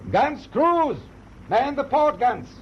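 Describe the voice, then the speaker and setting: Commanding, watchful ship's captain, muffled recording. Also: male voice, very high-pitched, big pitch swings, takes it slowly, exaggerated voice. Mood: shouting orders. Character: German tanker captain, commanding, tense and watchful. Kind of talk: radio drama acting. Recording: muffled, noisy radio drama recording.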